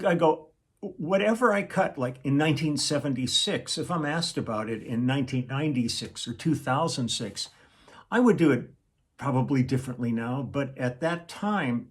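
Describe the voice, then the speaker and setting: A man's voice: medium pitch, slightly rough. An older man, a session musician, reminiscing in a casual, rambling monologue full of restarts and quoted asides. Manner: rambling, self-interrupting, with chuckles and quoted asides. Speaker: session musician hosting his own channel.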